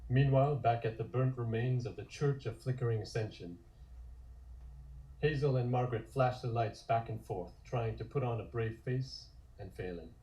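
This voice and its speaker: A man reading scripted narration for a comic-book table read in a measured, descriptive way. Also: mid-range voice, warm tone, low-quality recording.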